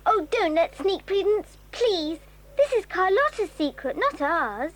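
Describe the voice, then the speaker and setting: A woman's voice: very high-pitched, sing-song, measured pace, exaggerated voice. A woman playing a timid, kind-hearted schoolgirl, hesitant and earnest, in a children's audio drama. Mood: anxious.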